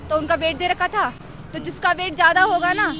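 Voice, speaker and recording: A young woman with a high voice answers questions in a casual, conversational way, explaining as she recalls. She is recorded outdoors with clear traffic noise around her. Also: a little fast, bright, forceful tone. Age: young adult. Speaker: exam candidate being interviewed. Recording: noisy outdoor street with traffic.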